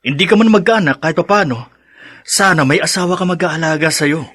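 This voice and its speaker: Aging male friend, Filipino accent, insistent, admonishing, forceful tone, dry sound, radio drama. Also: a little high, brisk, no echo. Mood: concerned urging. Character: nagging, well-meaning aging male friend.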